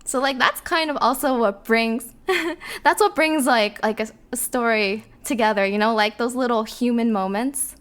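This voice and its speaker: A woman chatting casually as a voice actor, telling stories in a giggly, animated manner with quoted asides. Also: a little high, normal speed. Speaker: voice actor, podcast narrator. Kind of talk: casual chat.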